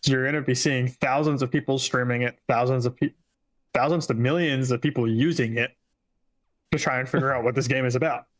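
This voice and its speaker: A man's voice: mid-range voice, fairly even intonation, fairly quick. A man with a mid-range voice gives casual commentary on games. He sounds opinionated, stressing his points as a co-host on a stream. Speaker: gaming show co-host.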